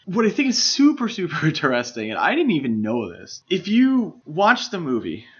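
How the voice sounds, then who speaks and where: A man's voice: fairly high pitch, huge pitch swings, normal speed, a bit bright, breathy edge. A man co-hosting a podcast, casually discussing film in an enthusiastic, explanatory way. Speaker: podcast co-host. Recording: slightly dull, lo-fi podcast audio.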